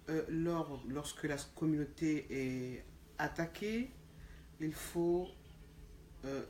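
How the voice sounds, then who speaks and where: A woman's voice: low voice, darkish tone. Woman host asking questions, lots of uhs, echoey room with a bit of noise. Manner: hesitant questions with many fillers. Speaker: interview host. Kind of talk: conversational interview.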